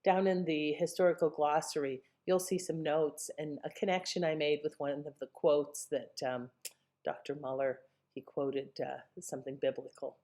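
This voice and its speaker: A woman's voice: deep voice, fairly even intonation, normal speed, dark tone. A woman casually commenting on an old radio episode she has presented, rambling a little with fillers.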